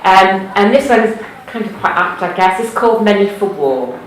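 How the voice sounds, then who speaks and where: A woman's voice: mid-range voice, moderate pace, a little hiss or hum. Woman with a cardiff accent reading poems. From Wales. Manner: lively, chatty asides between poems. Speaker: poetry reader. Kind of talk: live poetry reading. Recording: echoey room.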